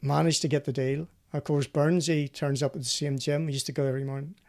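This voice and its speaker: Higher-voiced man hosting with a creaky edge. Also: flat delivery, fast talker, a little mellow. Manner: chatty, storytelling, bantering. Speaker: host of a live rally show; former professional co-driver. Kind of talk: casual live chat and anecdotes.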